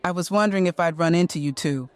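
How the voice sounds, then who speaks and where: An actress playing an older retired policewoman in a noir audio drama, calm, controlled and quietly firm. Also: fairly low pitch, fast talker, clear, resonant tone, dry recording, lightly acted. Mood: calm warning.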